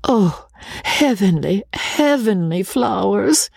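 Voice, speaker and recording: A man voicing a coy, flattering young woman in a storybook, gushing and sighing with affected sweetness. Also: sits high, slow pace, vocal fry, husky, no room sound, studio quality, voice pushed up, exaggerated voice.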